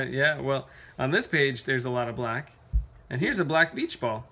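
A man talks casually as a dad at storytime with his children. His voice has a middling pitch and is picked up near a handheld camera in a room at home. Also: lively intonation, measured pace, pushed and bright. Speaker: father reading aloud to his children.

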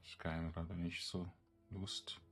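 A man giving casual, halting game commentary, rambling as he thinks aloud. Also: low-pitched, little pitch movement, fairly bright tone. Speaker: let's player. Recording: game music behind the voice. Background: video game music.

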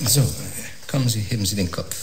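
An actor playing a brisk, exacting male surgeon in a sci-fi radio drama, giving clipped commands. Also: fairly low pitch, noisy background, lightly acted. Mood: focused, commanding. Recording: music under the voice.

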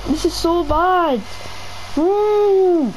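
A teenage gamer chatting excitedly and pleadingly while playing an online game. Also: extremely high pitch, very slow, over a noisy crowd. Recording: noisy game voice chat.

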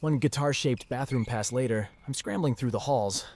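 A man's voice: mid-range voice, moderate pace, darkish tone, a little hiss or hum, natural voice. A man playing an awkward, wry teenage boy in a visual novel, both narrating and speaking in dialogue.

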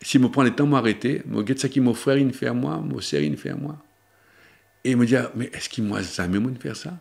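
Older guy preaching lent sermon at a table mic, slows down on the big points. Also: creaky, a little mellow. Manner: shifts between spoken pitches, slowing for emphasis. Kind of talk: Lenten sermon to camera. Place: small studio before a blue backdrop, seated at a table. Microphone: table microphone on a stand.

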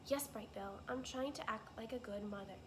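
A woman voicing a polite, calm female robot who speaks in formal, careful sentences. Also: a little high, moderate pace, a little hiss or hum, natural voice. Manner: formal, even, careful speech.

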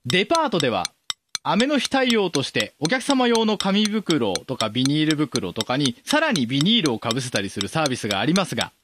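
A man's voice: fairly high pitch, huge pitch swings, fairly quick, background noise. A man around thirty co-hosting a casual radio show with a teasing, reactive manner. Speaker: podcast co-host. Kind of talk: casual radio banter and quiz reading. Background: background music.

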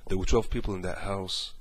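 A man's voice: low-pitched, a little hiss or hum. A man, talking earnestly with many fillers from a small bedroom, then pleading on a phone call. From Morocco. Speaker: activist caller.

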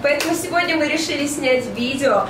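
A young woman hosting a casual group mukbang vlog, slightly hoarse from a cold and chatty. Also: high-pitched, brisk. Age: young adult. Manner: chatty, laughs often. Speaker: channel host. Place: large dining room indoors with friends. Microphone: camera at a distance. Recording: echoey room, mic at a distance, background noise.

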